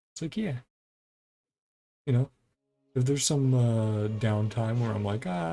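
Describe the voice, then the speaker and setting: Very low-voiced, creaky woman gaming. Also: mellow. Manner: rambling, thinking aloud. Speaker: game streamer. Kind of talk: casual let's-play commentary, reading game dialogue aloud.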